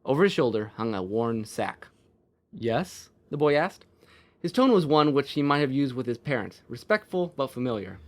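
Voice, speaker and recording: A man's voice: medium pitch, sing-song, a little fast. A man reading a fantasy story aloud as its narrator.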